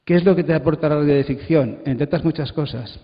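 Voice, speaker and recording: Echoey muffled hall, man, medium pitch, wry theatrical storytelling, trailing phrases. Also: fast talker. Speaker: radio drama panelist. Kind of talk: storytelling talk on a panel. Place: large hall at a congress. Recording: muffled, long hall reverb.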